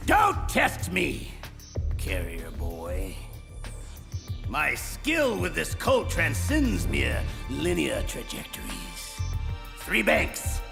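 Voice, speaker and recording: Gravelly, sneering gunslinger villain. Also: male voice, a little high, wildly swooping pitch, heavily acted. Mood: mocking menace. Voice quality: gravelly, creaky. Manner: menacing, taunting, drawn-out delivery. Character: sneering, theatrical older male gunslinger villain. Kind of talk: dramatic video game voice acting. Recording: long reverb under loud music.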